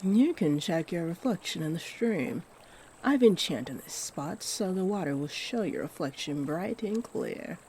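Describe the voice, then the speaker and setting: A kindly, doting fairy played by a woman speaks to the listener in a fantasy audio roleplay. Her voice is mellow and unhurried, swooping expressively as she gently fusses and encourages. A faint wash of stream ambience sits behind her. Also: fairly low pitch, expressive, slight background noise, rough recording. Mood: warm, helpful. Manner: gentle, encouraging, fussing, with sweet endearments. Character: kindly, doting fairy who gives magical makeovers.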